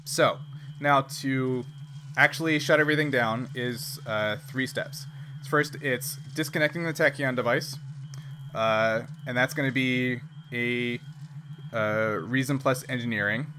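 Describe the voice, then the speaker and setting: A man acting as game master in a tabletop role-playing game, explaining rules and improvising in a halting way. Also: mid-range voice, steady tone. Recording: clear background noise with ambience.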